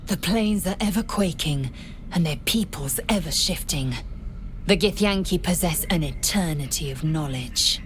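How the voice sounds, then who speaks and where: A woman's voice: lowish voice, animated, a little slow, slight background noise. A woman playing a stern, proud alien warrior in a fantasy video game, formal, clipped and imperious in manner. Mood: proud, solemn. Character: stern, haughty female githyanki warrior, proud and intense. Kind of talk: video game character acting.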